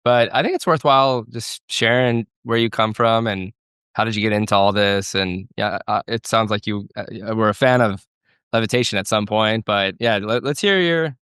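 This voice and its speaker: A relaxed male podcast host with a smooth, clear voice sitting a little low. The sound is dry and close, and he guides the talk in an easy, encouraging way. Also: moderate pace, no room sound. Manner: relaxed, encouraging, laughs easily. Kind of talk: casual interview.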